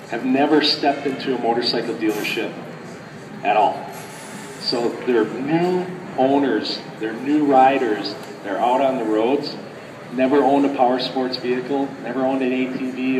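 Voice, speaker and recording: A man with a slightly raspy voice from a cold explains a product in a halting way, full of pauses and restarts. He speaks at an ordinary pitch for a man and sits far from the mic. A long, echoey hall and loud crowd noise surround him. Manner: halting, many fillers and restarts. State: getting over a cold, a little raspy. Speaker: company product spokesman. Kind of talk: informal product presentation. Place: large indoor convention center show floor.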